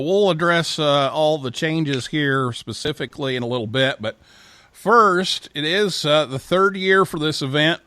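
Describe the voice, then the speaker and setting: A man's voice: medium pitch, a bit bright, dry recording. A man hosting a live talk show, interviewing guests in a conversational way. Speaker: host.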